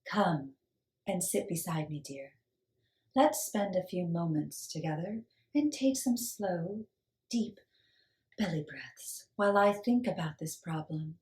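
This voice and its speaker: Soft, slow, wise woman played by a storyteller. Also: on the low side, animated, reverberant, voice pushed down. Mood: soothing. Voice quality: soft, hushed. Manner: soothing, slow, deliberate. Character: gentle, wise woman neighbour, calm and kindly.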